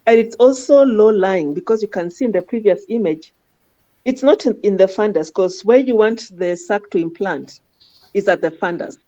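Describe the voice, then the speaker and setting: A woman's voice: medium pitch, moderate pace, warm tone. A woman giving informal teaching commentary on scan images as a radiology teacher, often adding questioning tags like "huh?" to her statements. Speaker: radiology teacher guiding a trainee. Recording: dull lo-fi audio.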